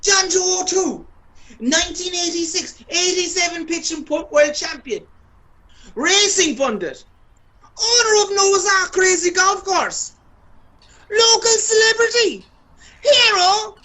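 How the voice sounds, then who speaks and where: A woman's voice: very high-pitched, a little slow, bright, forceful tone, full character voice, poor audio. A woman acting an ageing, boastful Irish male sporting champion in a radio play, chatty, pompous and persistent. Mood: pompous, indignant. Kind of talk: radio play monologue and dialogue.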